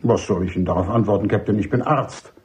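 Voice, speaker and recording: A man playing an older army major and doctor in a radio drama, husky, emphatic and cynical, courteous but dismissive. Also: fairly low pitch, fast talker, darkish tone. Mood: firm, scornful. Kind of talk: German radio drama acting.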